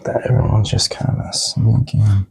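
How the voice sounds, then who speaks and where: A man talks through his turns in a tabletop game, relaxed and chatty. His voice has a gravelly fry and a warm, dark tone. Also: on the low side, normal speed, whispered. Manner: relaxed, chatty about his own plays. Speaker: co-host, opponent player. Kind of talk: casual tabletop game talk.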